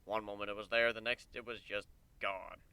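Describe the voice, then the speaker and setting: Male narrator, dramatic story reading, bright forceful tone, lively intonation, expressive, dry close recording. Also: on the low side, normal speed, smooth, no echo.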